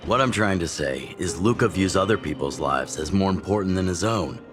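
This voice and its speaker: A man's voice: sits low, animated, moderate pace, music in the background. A man voicing a weathered, middle-aged fighting master in a video game, musing unhurriedly and fondly about his pupil like an uncle. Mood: fond, wry. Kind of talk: video game dialogue, reflective storytelling.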